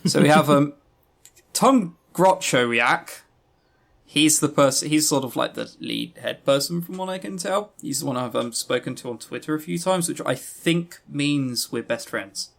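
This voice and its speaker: A man's voice: fairly high pitch, measured pace, vocal fry. A man hosting a podcast in casual, rambling review talk, wry and self-deprecating, swearing freely and stressing key words. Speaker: podcast host reviewing visual novels.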